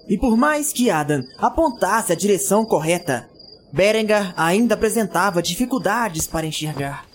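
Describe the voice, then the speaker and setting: High-pitched genial fantasy storyteller. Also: male voice, animated, normal speed. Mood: genial, storytelling. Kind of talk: scripted storybook narration. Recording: clear night ambience noise with crickets. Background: crickets, night ambience.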